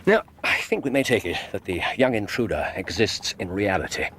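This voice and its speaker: Casting: courteous, sharp-minded gentleman sleuth, English RP, lowish voice with lively swoops, precise radio-play delivery. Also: brisk, slight background noise. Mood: confident, analytical. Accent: English, Received Pronunciation. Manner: precise, incisive, theatrical, murmured asides. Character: keen, courteous, self-assured gentleman detective. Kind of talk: radio drama acting.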